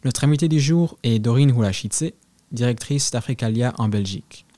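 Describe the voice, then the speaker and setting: Formal male radio host, mellow voice. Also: mid-range voice, moderate pace, dry recording. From African diaspora in Canada. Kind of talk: scripted radio introduction.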